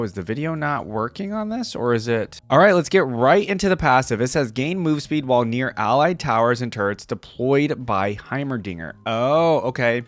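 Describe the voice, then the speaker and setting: A man hosting a reaction video in a casual, chatty style, informally thinking out loud as he comments and reads bits aloud. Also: medium pitch, no echo. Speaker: host of a reaction video series.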